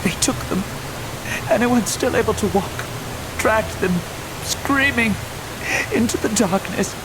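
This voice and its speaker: A badly wounded, haunted warrior for a video game. The words come slowly and with strained effort, desperate, with a clear rushing hiss behind them. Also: male voice, high-pitched. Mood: pained, desperate. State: strained, labouring to speak. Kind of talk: dramatic video game voice acting. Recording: clear hissing rushing noise.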